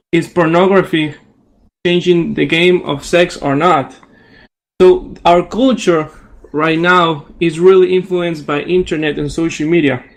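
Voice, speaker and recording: A man in his twenties with an Ecuadorian accent, a native Spanish speaker, talking casually as a radio guest. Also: on the high side. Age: 20s. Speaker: psychology professional guest. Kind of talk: casual radio discussion in non-native English.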